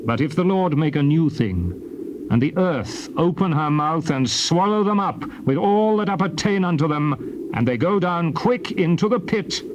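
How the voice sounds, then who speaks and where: An actor playing an earnest, urgent prophet and leader in dramatized scripture, declaiming in archaic English. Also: male voice, on the high side, expressive, moderate pace, close to an everyday voice. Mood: solemn, defiant. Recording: noisy old tape recording.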